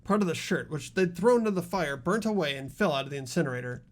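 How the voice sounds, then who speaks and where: A man voicing an earnest high school boy in a mystery game, explaining a case in a deliberate, dramatic way. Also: lively intonation, close to an everyday voice. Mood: grave, determined. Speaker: amateur voice actor in a game playthrough.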